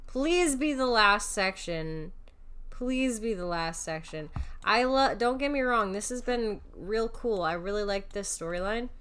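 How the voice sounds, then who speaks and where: A woman's voice: mid-range voice, animated, slow pace, fairly bright tone, clear, resonant tone. A woman streamer chatting casually while gaming, sleepy and joking, with mumbled asides.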